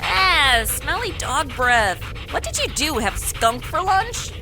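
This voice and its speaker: A young policewoman, acted by a woman, grumbles and snarks through a comedy audio drama. Her voice is high and very animated, full of complaint. Noisy outdoor-style ambience sits behind her. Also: takes it slowly, exaggerated voice. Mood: grumpy complaint. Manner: sarcastic, complaining. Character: whiny, sarcastic young modern policewoman stranded in snow.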